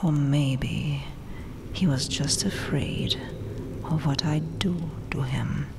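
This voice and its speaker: A woman voicing a vengeful, coldly dominant vampire queen in a breathy, mocking, regal whisper for an ASMR roleplay. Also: low voice, background noise. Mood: gloating. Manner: slow, deliberate, mocking, regal.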